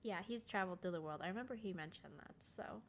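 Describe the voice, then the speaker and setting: A woman's voice: lowish voice, fast, background noise. A woman giving casual, informal commentary while playing a video game, in an offhand, slangy way. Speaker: gamer recording a playthrough. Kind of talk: casual game commentary, reading dialogue aloud.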